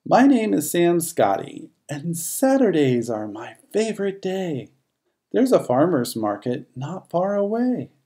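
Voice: mid-range voice, gravelly, warm tone, voice pushed up, heavily acted. A narrator voicing a sociable, curious Scottie dog in a rhyming children's storybook. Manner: lilting, rhyming first-person storytelling.

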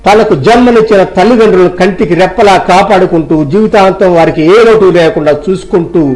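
A man's voice: high-pitched, a little mellow, low-quality recording. A man playing a self-righteous village employer who argues firmly and insistently in a dramatised moral story. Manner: argumentative, insistent.

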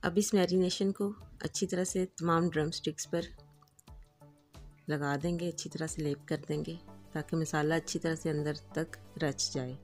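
A woman with a notably low voice narrates a home recipe, step by step. Her delivery is calm and instructive, never rushed. Faint background music sits under her voice. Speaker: cooking channel host. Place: home kitchen.

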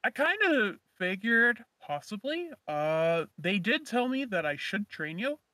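A woman's voice: mid-range voice, very animated, measured pace, sharp tone, dry recording. A woman playing an easygoing, offhand dragon slayer mentor in a game roleplay, musing casually. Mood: casual, agreeable. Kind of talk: amateur roleplay acting in a game video.

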